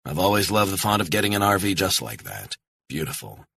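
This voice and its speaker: A man's voice: deep voice, very animated, a little fast, gravelly, sharp tone, slightly rough, no room sound, full character voice. A man voicing a guarded American male operative with an American accent, talking tersely and laconically.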